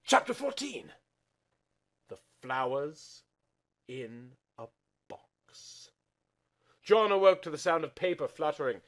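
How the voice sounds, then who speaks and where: A man narrates fiction in a theatrical reading style. His pitch swoops widely, and he overplays the drama, moving between hushed and loud delivery. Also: big pitch swings, slow pace, pauses often.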